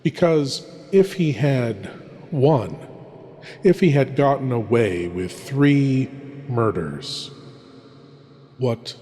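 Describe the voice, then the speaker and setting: Horror host lord, played by a man: male, ominous and courtly, slow dramatic pauses, mellow low-lit tone, echoing hall. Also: lively intonation, slight background noise. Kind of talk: theatrical horror-host narration. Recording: long cavernous reverb. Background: music.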